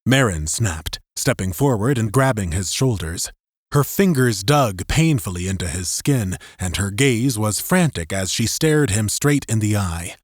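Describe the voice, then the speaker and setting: Slow, expressive male audiobook narrator. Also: on the low side, no room sound, clean studio sound. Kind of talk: dramatic scripted narration.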